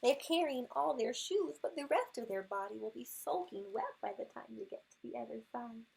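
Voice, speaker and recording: A woman's voice: on the high side, expressive, a little fast, vocal fry, a little mellow, breathy edge, low-quality recording. A woman reading a picture book aloud to young children, acting out sound words expressively and chatting to her listeners. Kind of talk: animated read-aloud with asides to young listeners.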